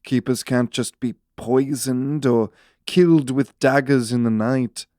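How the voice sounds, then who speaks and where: Male actor as a thirties noble minister, upper-class accent, clean resonant voice, formal, deliberate and unhurried. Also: medium pitch, steady tone, mellow, no room sound, clean studio sound, natural voice. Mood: cool, matter-of-fact. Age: 30s. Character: noble government minister in his mid-thirties, calmly measured. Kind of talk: fantasy audio drama acting.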